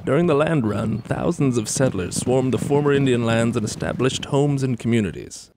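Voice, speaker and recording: A man giving scripted historical narration for a short documentary, in a deliberate storytelling manner. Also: mid-range voice, background noise. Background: plucked guitar music.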